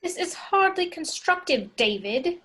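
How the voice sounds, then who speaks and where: A woman's voice: very high voice, sing-song, measured pace, a bit bright, exaggerated voice. A woman reading an anxious, no-nonsense young woman in an amateur comedy script read. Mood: impatient. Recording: faint background noise, home recording.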